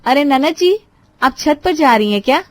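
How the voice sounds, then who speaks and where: A woman's voice: sits high, animated, moderate pace, no room sound, pitched above the performer's own voice. A woman storyteller voicing a polite, obliging young daughter-in-law in a family story. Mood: cheerful. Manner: deferential, obliging.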